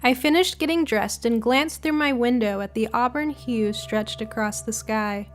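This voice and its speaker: A woman's voice: fairly high pitch, normal speed. A woman reading a scripted short story in the first person, as a storybook narrator.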